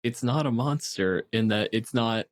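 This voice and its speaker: A man's voice: medium pitch, measured pace. A man hosting a casual panel discussion, talking in an explanatory, analytical way. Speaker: podcast host.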